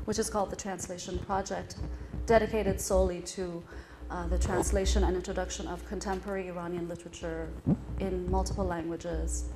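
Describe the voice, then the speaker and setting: A middle-aged woman, a Persian-speaking translator born in London, giving a thoughtful, explanatory talk to a live audience, often pausing on uh and um. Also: on the low side, noisy background. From born in London, grew up partly in Iran. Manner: thoughtful, explanatory, frequent uh and um fillers. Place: reading event venue, in front of an audience.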